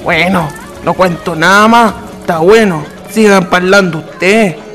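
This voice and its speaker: High-voiced earnest Chilean countryman. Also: measured pace, a bit bright, faint music behind. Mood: insistent. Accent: rural Chilean. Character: simple, earnest country man in a tavern. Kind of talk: radio drama acting.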